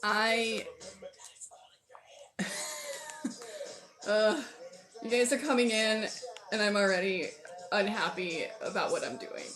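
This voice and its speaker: A hoarse, tired-sounding woman hosting a casual livestream, rambling and thinking aloud as she sets up. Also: medium pitch, fairly even intonation, takes it slowly, pauses often, over music. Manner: rambling, self-deprecating, thinks aloud while sorting pieces. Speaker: livestream host. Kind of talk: casual livestream chat while setting up a build.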